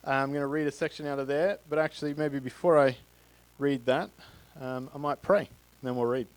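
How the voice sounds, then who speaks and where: A man in his thirties with an Australian accent, speaking as a guest at a church and holding a handheld mic, halting and full of fillers and restarts. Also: mid-range voice, steady tone, clear, resonant tone, dry recording, poor audio. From Queensland, Australia. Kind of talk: church talk to a congregation. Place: church stage with a live congregation.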